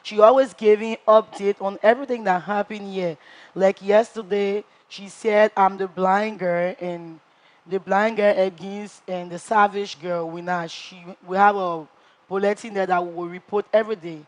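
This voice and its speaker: A woman playing a brash reality-show contestant who tells her story in an animated way and acts out what others said. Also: medium pitch, normal speed, lightly acted.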